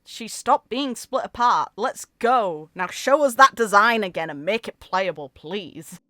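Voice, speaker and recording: A woman reacts to a game in casual, expressive fan talk. Her voice is medium in pitch and peppered with informal interjections. The recording is close and dry, with very little room sound. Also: a little slow, no room sound. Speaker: gaming video creator. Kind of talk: casual reaction commentary, with dramatic reading aloud.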